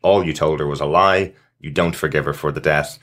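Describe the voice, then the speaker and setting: A man's voice: extremely low pitch, steady tone, fairly quick, fairly bright tone. A man hosting a casual podcast discussion, easygoing and laughing readily as he steers the talk. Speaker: podcast host.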